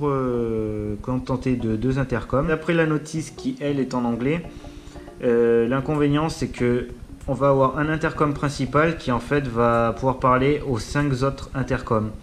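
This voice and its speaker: Hesitant Frenchman giving an informal review. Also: mid-range voice. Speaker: vlogger reviewing motorbike gear. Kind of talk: informal spoken product review.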